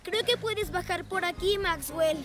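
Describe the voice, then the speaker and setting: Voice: very high-pitched, unhurried. An actor voicing a brave, eager young boy rescue motorcycle in an earnest, exclamatory children's cartoon style.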